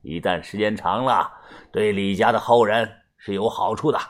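A man voicing a commanding village feng shui master in an audio story, with bossy, ritual, drawn-out delivery. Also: on the low side, pitched below the performer's own voice, lightly acted. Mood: knowing.

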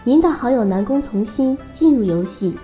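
A high, very mellow female system voice acted by a woman over music. It delivers polite, formulaic game notifications slowly in an audio drama. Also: lively intonation, close to an everyday voice. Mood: neutral. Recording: music behind the voice.

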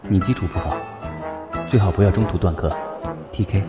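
A man's voice: low voice, a little fast, lightly acted. A man acting a composed, reserved young law professor in an audio drama. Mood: calm, firm. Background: music.

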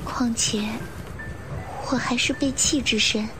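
A woman's voice: on the high side, steady tone, takes it slowly, noisy background. A woman playing a composed, proud young lady with a coolly resigned temper in an audio drama. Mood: indignant. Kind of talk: audio drama acting in Mandarin.

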